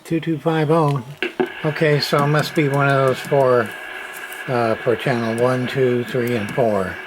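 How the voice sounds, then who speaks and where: A man's voice: mid-range voice, steady tone, measured pace, noisy background. A man testing and repairing an old radio, muttering test calls and meter readings in casual workbench commentary.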